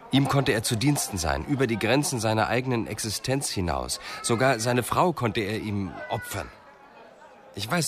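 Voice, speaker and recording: Very low-voiced, probing police investigator. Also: male voice. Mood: fervent, musing. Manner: deliberate, measured, insistent questioning. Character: probing, intense male police investigator, quietly insistent. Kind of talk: German radio crime drama acting.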